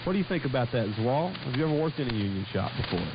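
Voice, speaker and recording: A man's voice: medium pitch, very animated, racing, lo-fi sound, background noise. A man co-hosting a casual radio talk show, rambling and stumbling over his words, on a shortwave broadcast. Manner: rambling, hesitant with frequent stumbles.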